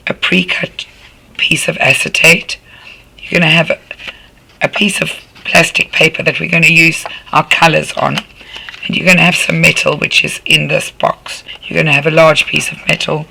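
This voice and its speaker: A man with a croaky voice, patiently walking a class through a craft demonstration step by step as their art tutor. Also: on the high side, bright, forceful tone, low-quality recording. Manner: patient, instructive, talking while working with hands.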